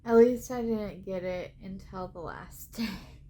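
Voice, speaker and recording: A woman travel vlogger talking casually to camera with a head cold and a scratchy throat, in a chatty way full of ums. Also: medium pitch. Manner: slow, relaxed, chatty with filler ums. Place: cruise ship cabin.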